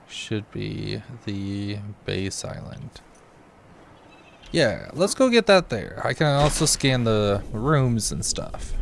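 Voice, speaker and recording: Slow-talking, slightly scratchy male game streamer. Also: fairly low pitch, creaky. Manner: rambling, muttering to himself. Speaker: small gaming streamer. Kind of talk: casual gameplay commentary, thinking aloud. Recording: music behind the voice. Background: game music.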